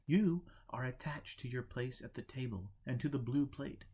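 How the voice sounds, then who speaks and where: A man's voice: medium pitch, mellow, slightly rough, lightly acted. Man reading as a preachy, imperturbable monk. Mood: calmly teasing. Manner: didactic, deliberate pronouncements. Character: imperturbable male monk teacher, preachy and teasing.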